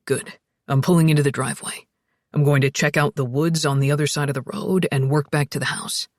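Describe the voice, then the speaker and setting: A woman voicing a steady, protective man in an audiobook, urgent yet soothing, with firm and direct delivery. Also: low voice, brisk, no room sound, clean studio sound, pitched below the performer's own voice. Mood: urgent, reassuring. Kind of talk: audiobook character dialogue.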